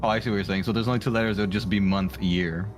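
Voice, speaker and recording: A man's voice: on the low side, steady tone, rapid-fire, noisy background. A man chatting casually on a livestream, rambling and giggly as he works on his art. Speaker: streamer drawing art live. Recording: music playing behind the voice. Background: music.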